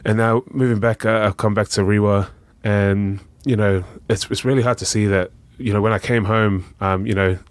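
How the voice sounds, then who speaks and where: A young man with a New Zealand accent, talking as a podcast guest in a relaxed, rambling way full of fillers. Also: on the low side, moderate pace, few pauses, no room sound. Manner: relaxed, rambling, lots of "you know" and "um", says "bro". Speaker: guest, charity founder. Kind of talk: casual podcast conversation.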